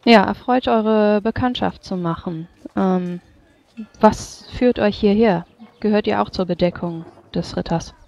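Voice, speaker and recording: A woman plays a reserved, impatient female mage in a tabletop game. Her voice is mellow and creaky, her speech formal and curt. The sound is slightly dull. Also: mid-range voice, a little slow.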